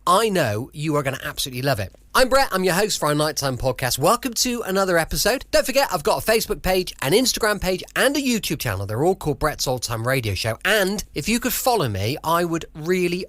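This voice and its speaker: A man's voice: fairly high pitch, fairly bright tone, no room sound. An English man hosting a podcast with a chatty, effusive, emphatic introduction. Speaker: podcast host. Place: home studio, close dry sound.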